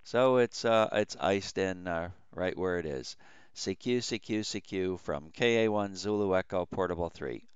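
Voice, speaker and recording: A man who works as an amateur radio operator, talking casually in a halting, matter-of-fact way as he demonstrates his station and makes radio calls. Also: smooth, dry recording, muffled recording. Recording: loud radio noise, vehicle-like rumble.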